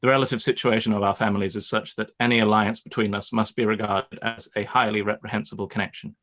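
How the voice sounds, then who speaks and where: Man playing a low-voiced, haughty Regency gentleman. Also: little pitch movement, quick pace, close to an everyday voice. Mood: ardent, strained. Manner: formal, haughty. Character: proud, stiff, ardent Regency gentleman. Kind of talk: radio play live reading.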